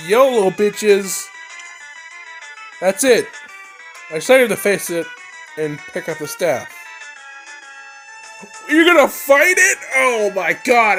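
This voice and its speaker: A man with a fairly high voice streams a story game. He reads the narration aloud and reacts in exclamatory, slangy asides. Music plays clearly behind his voice. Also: a bit bright. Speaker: let's play streamer. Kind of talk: visual novel let's play with read-aloud narration and reactions. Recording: prominent background music.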